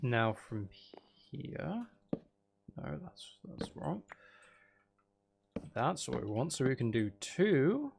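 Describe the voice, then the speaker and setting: Male game streamer, mid-pitched and gravelly with fry, casual, self-deprecating, muttering to himself; faint noise and clicking behind. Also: big pitch swings, slow, long pauses, a little mellow. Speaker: live streamer playing a building game. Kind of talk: casual chat with viewers while gaming.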